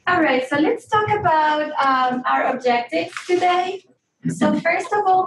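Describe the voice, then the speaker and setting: A woman hosting a workshop, explaining things politely and pausing now and then to find her words. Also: sits high. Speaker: webinar host and presenter. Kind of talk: presenting to a live workshop. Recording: echoey room, distant mic, faint noise.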